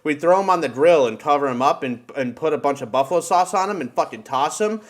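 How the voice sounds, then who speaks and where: An American man hosting a podcast, chatting casually in an animated, slangy way with plenty of swearing. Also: fairly high pitch, fast talker. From United States. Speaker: podcast host. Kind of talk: casual banter.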